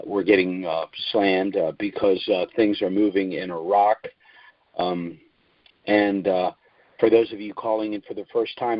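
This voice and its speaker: A man hosting a conference call, giving an informal update in a measured, hesitant way. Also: fairly low pitch, no echo. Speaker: host of an investment conference call. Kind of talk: informal spoken update to callers. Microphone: conference call line. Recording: dull lo-fi conference call audio.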